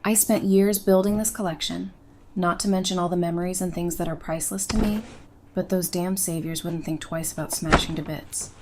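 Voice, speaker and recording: A woman's voice: fairly low pitch, fairly even intonation, a little fast, background noise, close to an everyday voice. A woman playing a strong-willed, bitter survivor with a firm, sardonic manner in an audio drama. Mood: bitter resolve.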